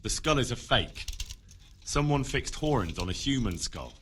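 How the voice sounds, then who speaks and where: A man's voice: mid-range voice, sing-song, measured pace, loud music behind the voice. An actor playing an earnest, stubborn young man investigating in a medieval video game drama. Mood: probing, earnest.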